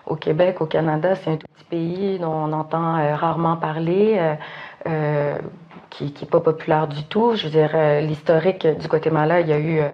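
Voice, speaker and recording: Flat, low-voiced Québécois actress. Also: female voice, moderate pace, muffled recording. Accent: Québécois French. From Quebec. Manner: soft, hesitant, many "euh". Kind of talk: interview answers.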